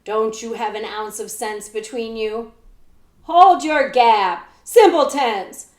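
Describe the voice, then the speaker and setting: A woman's voice: a little high, takes it slowly. Woman playing a bold, urgent boy, echoey room. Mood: commanding. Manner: urgent, commanding. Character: quick-witted runaway boy, bold and desperate. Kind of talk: children's storybook read-aloud.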